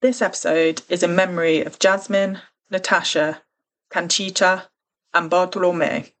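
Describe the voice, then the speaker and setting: A woman's voice: lowish voice, steady tone, measured pace, a bit bright. A woman hosting a true crime podcast, talking in an earnest, careful and conversational way. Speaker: podcast host.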